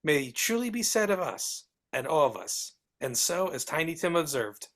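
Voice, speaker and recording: A woman's voice: low-pitched, sing-song, normal speed, fairly bright tone, exaggerated voice. A woman playing a male storyteller, narrating in a live dramatic storybook reading. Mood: solemn, warm. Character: Mister Dickens, storytelling narrator.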